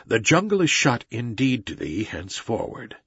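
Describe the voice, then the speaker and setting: A man voicing a calm, wise male panther, speaking low and softly with a husky voice. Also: moderate pace, dry recording, heavily acted. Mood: gentle. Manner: low, soft and consoling. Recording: slightly dull recording.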